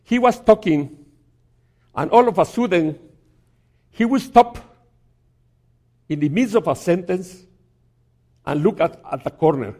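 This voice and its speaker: A man giving a sermon as a guest preacher in a church, telling a story in a halting way with many restarts and some gentle humor. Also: sits high, lively intonation.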